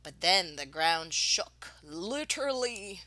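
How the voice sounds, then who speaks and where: Bright, animated woman reading a storybook aloud. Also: fairly low pitch, takes it slowly, slight background noise. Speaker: teacher reading a picture book aloud. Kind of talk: expressive children's read-aloud of a picture book.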